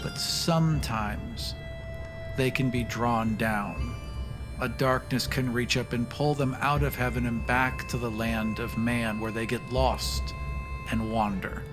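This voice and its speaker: Mid-pitched man storytelling over music. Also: fairly even intonation, slow pace. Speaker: tabletop game player. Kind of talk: improvised roleplay and storytelling.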